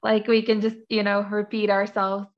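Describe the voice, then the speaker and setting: A woman's voice: mid-range voice, monotone, moderate pace, lo-fi sound. A young woman from Finland, a native Finnish speaker, chatting casually in an interview. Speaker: interviewee, university student.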